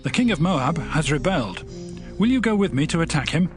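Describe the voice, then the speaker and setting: Joram, a high-voiced warlike king. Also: very animated, a little fast, over music. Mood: commanding. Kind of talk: dramatized Bible audio drama.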